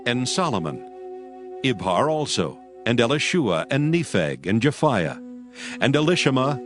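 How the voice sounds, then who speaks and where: A man narrating a dramatized scripture audiobook in a solemn, measured storytelling manner. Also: mid-range voice.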